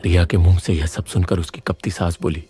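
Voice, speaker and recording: A man's voice: low voice, little pitch movement, fairly quick, warm tone, faint music behind. A man reading scripted narration for a moral story as its narrator.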